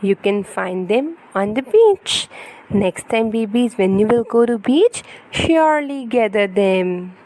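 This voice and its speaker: A woman's voice: medium pitch, animated, slow pace, a little mellow, low-quality recording. A woman reading a picture book aloud to young children in a teacherly way, with questions and exclamations.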